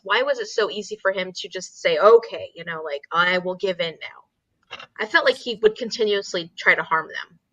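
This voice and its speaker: Woman, bright forceful voice, medium pitch, casual book-club co-host, slightly muffled call audio. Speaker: co-host of an online book discussion.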